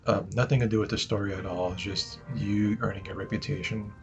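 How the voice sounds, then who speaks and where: A man with a naturally low voice chatting casually as he streams a game, often asking "right?" and addressing viewers as guys. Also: flat delivery, a little fast, creaky, lo-fi sound, music in the background. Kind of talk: casual gameplay commentary. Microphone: desk mic he moves around.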